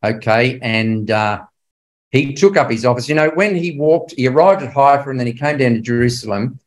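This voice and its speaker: Male Bible class teacher, older, average pitch; explains history conversationally, leaning on key words and quizzing listeners. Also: expressive, normal speed, rough recording. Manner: conversational, emphatic, puts rhetorical questions to listeners. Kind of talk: illustrated history lecture to a religious class.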